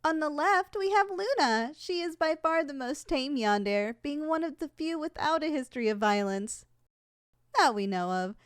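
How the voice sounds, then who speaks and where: Female actor as polite, unflappable saleswoman for an audio roleplay; high, very animated voice, formal sales patter. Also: moderate pace, no echo, clean studio sound. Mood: polite, businesslike. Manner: formal, courteous, matter-of-fact about danger. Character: polite, unflappable saleswoman giving a yandere shop tour.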